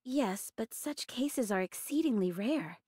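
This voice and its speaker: Husky, brusque female squad leader. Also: fairly high pitch, expressive, a little slow, warm tone, no room sound. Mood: brusque, businesslike. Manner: terse, matter-of-fact. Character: brusque, stoic young woman squad leader. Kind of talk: video game voice acting. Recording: dry studio voice, faint game music.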